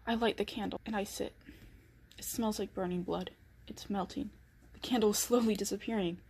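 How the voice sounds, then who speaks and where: Husky female actor as anxious killer with secrets, dramatic first-person storytelling. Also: medium pitch, fairly even intonation, fairly quick, a little mellow. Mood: panicked. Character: anxious, secretive 1912 killer snowed in at home.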